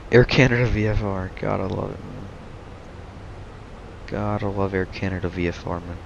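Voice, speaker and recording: A man's voice: deep voice, level tone, normal speed, vocal fry. A young man casually talking through a flight simulator stream, laid-back and rambling, saying he is losing his voice. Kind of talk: casual live-stream commentary. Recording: muffled stream audio with noise.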